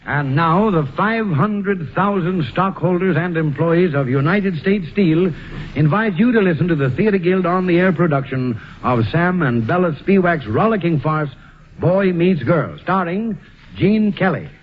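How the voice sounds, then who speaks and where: A man's voice: high voice, lively intonation, unhurried, a little hiss or hum. A man announcing formally from a script in the declarative style of 1940s radio. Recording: dull vintage radio broadcast.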